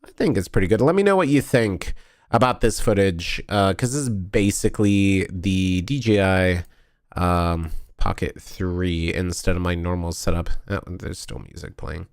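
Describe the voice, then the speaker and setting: A man's voice: deep voice, normal speed, dry recording, studio quality. A tired, sniffly man chatting casually on a stream, rambling and correcting himself as he goes. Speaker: vlogger streaming a convention video review. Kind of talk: casual live-stream chat while editing.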